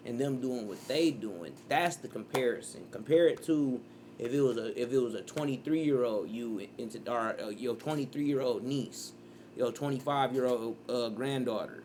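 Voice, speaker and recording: A man in his early thirties streams his reaction in loose, rambling commentary. His voice sits at an ordinary pitch and now and then jumps into loud, excited outbursts. A faint hiss runs under the sound. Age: 30s.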